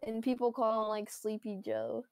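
A woman's voice: on the high side, fairly even intonation, normal speed, darkish tone, no room sound, clean studio sound. A woman chatting playfully with friends on a game stream, laughing often. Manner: giggly, playful. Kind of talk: casual gaming chat.

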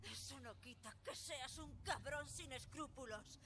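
A woman's voice: high voice, measured pace, sharp tone. An actress playing a betrayed, defiant woman on a space station in a video game, bitter and accusing. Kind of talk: dubbed video game acting.

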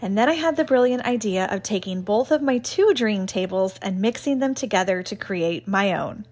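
A woman's voice: mid-range voice, animated, moderate pace, darkish tone. Chatty woman home renovation vlogger. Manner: chatty and enthusiastic. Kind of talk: casual vlog narration.

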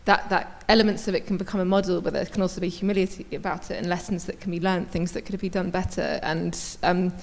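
A woman's voice: on the low side, little pitch movement, quick pace, hardly any pauses. A woman in her thirties, an architect on a conference panel, speaking conversationally into a handheld mic. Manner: conversational, many fillers and restarts. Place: large conference hall on stage. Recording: long reverb of a large hall.

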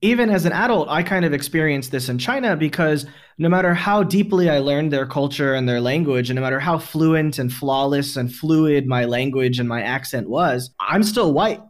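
A man with a slightly high voice hosts a podcast conversation. He talks in an explanatory way, laying out his thoughts at length. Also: animated, normal speed. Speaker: podcast host.

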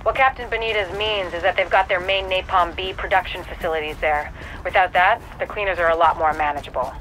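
Actress doing game mission briefing lady, crisp businesslike, bright forceful voice, bit of noise. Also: on the low side, fairly even intonation, a little fast, natural voice, rough recording. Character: brisk, businesslike woman briefing an agent.

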